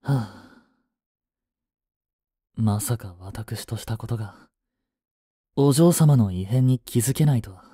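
A man's voice: medium pitch, takes it slowly, long pauses, warm tone, breathy edge, no room sound, studio quality. A man playing a devoted, jealous young butler in a voice drama, with formal, clipped, haughty speech. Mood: self-reproachful. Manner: formal, polite, clipped, turning contemptuous toward a rival. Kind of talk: Japanese voice drama acting.

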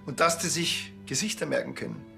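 An actor playing a wry, unhurried policeman with a Bavarian accent and a dry, colloquial manner in a TV crime comedy. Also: fairly high pitch, vocal fry, sharp tone, faint music behind, full character voice. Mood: wry, matter-of-fact.

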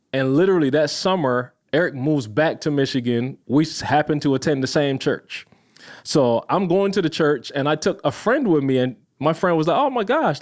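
Man, from Barbados, thirties, podcast guest, casual storytelling, lively, slightly higher pitch. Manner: animated, addresses listeners as y'all, laughs often.

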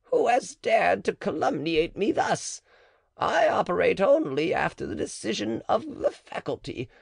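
Cautious older midwife woman, high-pitched and protesting, gravelly, swooping delivery, read by a man. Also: sing-song, a little slow, no echo, studio quality, heavily acted. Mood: wary. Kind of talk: audiobook character reading.

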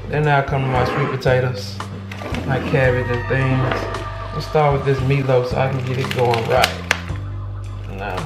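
A man, chatting casually to the camera while he cooks in his home kitchen. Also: medium pitch. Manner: laid-back, chatty, drawn-out filler words. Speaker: vlogger cooking at home. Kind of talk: casual cooking vlog, talking to camera. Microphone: camera on the counter, several steps away. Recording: roomy kitchen echo, distant camera mic, loud background music.

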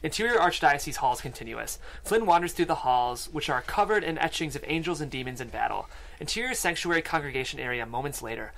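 A man with a fairly high voice narrates a screenplay at a table read. He delivers scene headings and stage directions into a close studio mic. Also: animated, fast talker, gravelly, a bit bright, low-quality recording. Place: small podcast studio. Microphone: close studio microphone.

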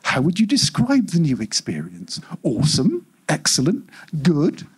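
Give me a customer-service AI from a near-future story, read aloud by a man. It is unfailingly polite and quite implacable. The patter is scripted and corporate, in a slightly husky voice with lively swings of pitch. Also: measured pace, full character voice. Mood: polite, insistent. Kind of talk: live short-story reading.

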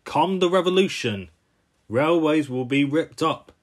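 A man's voice: fairly high pitch, expressive, measured pace, voice pushed up. A man voicing a cartoon bus, a bitter revolutionary who rants pompously. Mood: bitter, scornful. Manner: ranting, pompous. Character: bulky old-fashioned bus, ranting bitter revolutionary.

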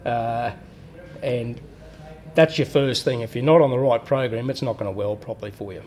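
A man's voice: mid-range voice, moderate pace, darkish tone. Plain-spoken man explaining welder setup. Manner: plain-spoken, explains step by step with fillers and restarts. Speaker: welding machine specialist. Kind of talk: hands-on technical explanation. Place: workshop.

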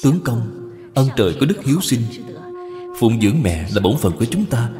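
A man voicing a gentle, dutiful young wife in a cartoon moral tale, speaking formally and persuasively. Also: medium pitch, very animated, moderate pace, close to an everyday voice. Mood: earnest, caring. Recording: long reverb, music behind.

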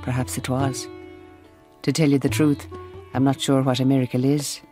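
A shrewd, devout Irish Mother Superior in a radio drama. Her voice sits very low, and she speaks with gentle firmness and quiet conviction. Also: flat delivery, normal speed, soft background music. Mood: reflective. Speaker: narrator and character actress. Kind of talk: radio drama narration and acting.

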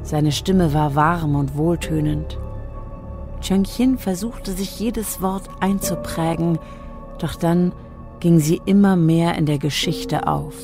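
An actress narrating a science fiction radio drama in a scripted storytelling style. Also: female voice, lowish voice, takes it slowly. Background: music.

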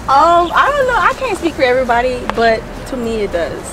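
A young woman outdoors answers a street interview, hesitant and giggly, her high voice swinging up and down. Loud traffic and street noise sit close behind her. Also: huge pitch swings, fairly quick. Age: young adult. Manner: hesitant, giggly, with filler ums. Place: outdoors by a parking lot. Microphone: interviewer's handheld phone.

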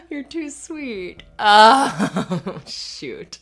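A woman's voice: mid-range voice, a bit bright, full character voice. A woman playing an affectionate, earnest, slightly anxious live-in girlfriend in a conversational, rambling, hesitant ASMR roleplay. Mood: playful teasing. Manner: rambling, hesitant, giggly asides. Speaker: audio roleplay performer.

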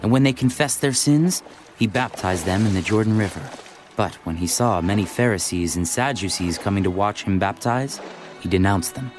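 A man's voice: lowish voice, animated, normal speed. A man reading scripted narration for a dramatized audio Bible. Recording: faint music behind the voice. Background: music.

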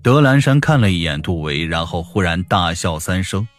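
A man's voice: low voice, animated, moderate pace. A man narrating a scripted audiobook story. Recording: music behind the voice.

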